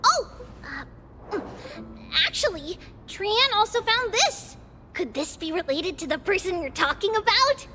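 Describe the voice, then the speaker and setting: A bubbly little girl from an anime-style game. Her voice is extremely high and very animated, yet unhurried, with childlike eagerness, over clear background music. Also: exaggerated voice. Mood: excited, proud. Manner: excitable, third-person childlike talk. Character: bubbly childlike little girl who speaks of herself by name.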